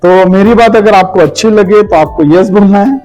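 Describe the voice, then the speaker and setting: Male motivational speaker, high register, interactive and repetitive for emphasis, over faint music. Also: normal speed, darkish tone, poor audio. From Maharashtra, India. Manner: interactive, asks the audience questions, repeats phrases for emphasis. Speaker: motivational speaker for direct selling. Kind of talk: motivational seminar speech with personal anecdotes.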